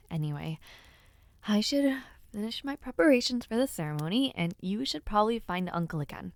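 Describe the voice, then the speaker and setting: A woman voicing a playful, affectionate young noblewoman knight in an audio roleplay, speaking softly and teasingly and often dropping into whispers. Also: medium pitch, animated, normal speed, dry recording, lightly acted. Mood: chatty, teasing. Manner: soft, teasing and intimate, drops to whispers.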